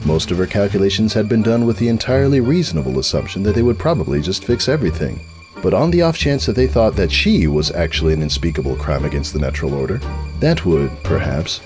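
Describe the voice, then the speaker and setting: A man reading scripted comic fiction as a dramatic narrator, mock-grandiose with deadpan asides. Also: on the low side, fast, soft background music.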